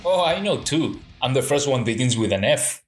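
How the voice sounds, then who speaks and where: An actor playing a cheeky young army recruit, teasing and needling in an audio drama. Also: male voice, medium pitch, animated, moderate pace, faint music behind, close to an everyday voice.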